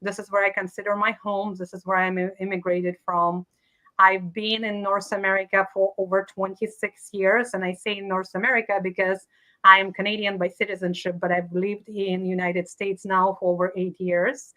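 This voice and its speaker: Bright, earnest woman from Ukraine, Russian her first tongue, interview guest on a call. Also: medium pitch, level tone, normal speed, low-quality recording. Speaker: guest, leadership coach. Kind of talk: conversational interview.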